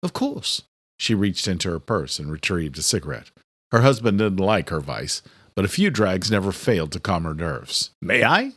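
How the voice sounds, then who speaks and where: A man's voice: low-pitched, lively intonation, normal speed, breathy edge, no echo. A man reading a horror thriller audiobook as scripted narration.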